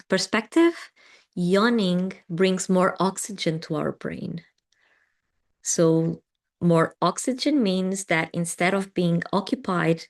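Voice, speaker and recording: A woman who is not a native English speaker leads a live meditation session. She answers chat comments gently, with frequent small laughs and pauses. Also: fairly low pitch. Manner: gentle and affirming, laughs often, with pauses and ums. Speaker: meditation teacher.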